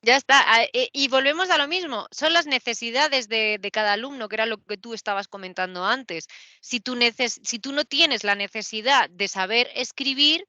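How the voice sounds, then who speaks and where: A woman language teacher hosting a casual interview, chatty and lively. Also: fairly high pitch, dry recording. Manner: chatty and lively, quick to agree and laugh.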